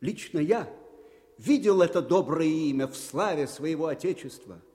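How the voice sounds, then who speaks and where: A man's voice: on the high side, expressive, normal speed, dark tone, lightly acted. An actor playing a proud, earnest Russian military commander recalling his life in a radio play monologue. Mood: proud, reflective. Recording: long hall reverb.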